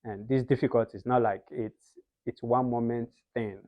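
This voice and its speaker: A man's voice: mid-range voice, fairly even intonation, normal speed, dry recording. Earnest Nigerian-accented young man, muffled sound. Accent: Nigerian English. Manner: earnest, explanatory. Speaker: guest. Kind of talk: casual interview.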